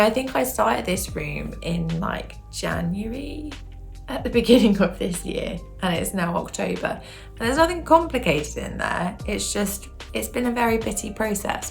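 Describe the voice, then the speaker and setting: A young British woman with a croaky throat, chatting casually to camera as a home decor vlogger, rambling and correcting herself as she goes. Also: on the low side.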